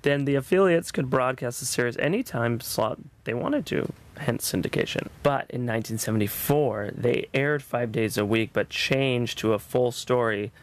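A man's voice: medium pitch, normal speed, hardly any pauses. A man hosting a podcast in a conversational presenting style. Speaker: podcast host.

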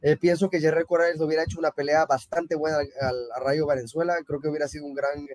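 A man from Mexico talks through a boxing matchup in a measured, agreeable manner. His voice is a touch high for a man. The sound is dry and close, with no room echo. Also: level tone, a little mellow. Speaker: boxing podcast co-host. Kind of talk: casual sports chat.

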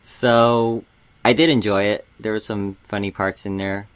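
A man giving his opinions in a casual film discussion, with halting, loose phrasing. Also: fairly low pitch, steady tone, clear, resonant tone, no room sound. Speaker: podcast panelist.

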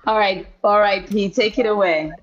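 A woman from the Democratic Republic of the Congo co-hosting a podcast, introducing her guest in a playful, expressive way with slangy asides. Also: mid-range voice, normal speed, a crowd in the background. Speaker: podcast co-host. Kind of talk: casual podcast interview. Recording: dull lo-fi sound.

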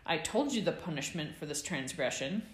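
A woman's voice: low voice, expressive, moderate pace, roomy sound. A woman voicing a bossy, teasing schoolboy who scolds his friend with mock-stern insistence in an audiobook-style story. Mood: mock stern, shouting.

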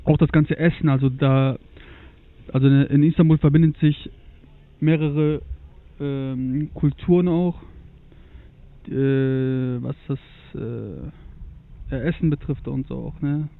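A man's voice: medium pitch, fairly even intonation, slow, gravelly, pauses often. A young man from Germany, chatting as a guest about travel, hesitant, with many fillers. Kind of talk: casual conversation about travel. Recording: tinny lo-fi phone-like sound.

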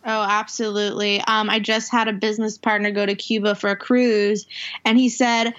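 A woman's voice: medium pitch, flat delivery, moderate pace, fairly bright tone, muffled recording. A woman co-hosting a podcast in a casual chat, rambling and leaning on fillers as she talks. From half Puerto Rican, half Dominican heritage. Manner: rambling, heavy on fillers and restarts. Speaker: podcast co-host.

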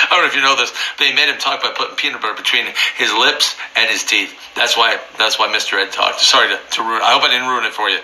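A slightly hoarse man teaching from the Bible informally, fervent and building to near-shouting emphasis. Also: mid-range voice, fairly even intonation, fast, rough recording.

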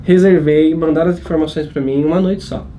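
A man's voice: fairly high pitch, fairly even intonation, brisk, mellow, slight background noise. A young man, telling a self-mocking travel story in a casual podcast chat. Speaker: travel channel host and guest storyteller.

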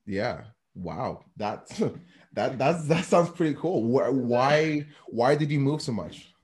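A man's voice: mid-range voice, lively intonation, slow pace, a little mellow. A man hosting an interview, encouraging and effusive, often repeating words as he responds. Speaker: interview host. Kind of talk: casual interview talk.

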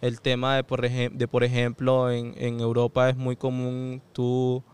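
A young man with a marked Venezuelan accent talks in a smooth, resonant voice at an ordinary pitch. He reflects aloud as a podcast guest, circling back with fillers and restarts in relaxed conversation. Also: a little slow. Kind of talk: casual conversation.

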